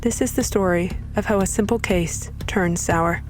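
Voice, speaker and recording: A woman's voice: mid-range voice, normal speed, darkish tone, faint music behind, natural voice, poor audio. A woman reading scripted introductory narration as announcer of a drama podcast. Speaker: series announcer.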